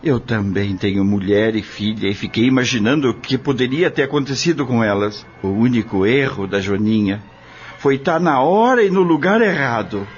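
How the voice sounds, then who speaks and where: A man's voice: mid-range voice, animated, normal speed, close to an everyday voice. A man acting an earnest, anguished farm worker in an audio drama, with emotional, emphatic, plain country speech. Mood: grieving, shocked. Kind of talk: dramatic radio-novela acting. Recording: dull lo-fi audio drama recording. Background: prominent background music.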